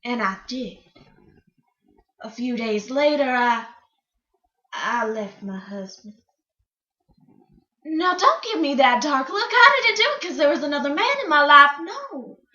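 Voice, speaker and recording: A woman performing a dramatic monologue as a chatty, wistful country singer, telling her story in a folksy, theatrical way with hesitant pauses. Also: high voice, sing-song, sharp tone, full character voice. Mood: confiding. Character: wistful, chatty female country singer, dreamy yet defiant. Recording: roomy, slightly dull recording.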